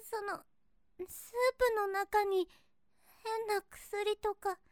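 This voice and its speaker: A sweet young girl in an anime-style audio drama. Her voice is extremely high and childlike, with a dry, close sound. She is polite, earnest and innocent, a little hesitant and easily flustered. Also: little pitch movement, takes it slowly, no room sound. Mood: flustered, wary. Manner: earnest, deferential, hesitant speech. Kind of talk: Japanese voice drama acting.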